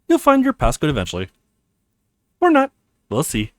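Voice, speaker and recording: Man putting on a young female game character, teasing, dismissive, cool, highly varied pitch, dry sound. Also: wildly swooping pitch, normal speed, no room sound. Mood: taunting.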